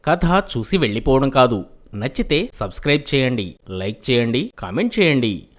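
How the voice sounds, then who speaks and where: A man's voice: mid-range voice, big pitch swings, normal speed. A man as channel announcer reading a scripted appeal to viewers.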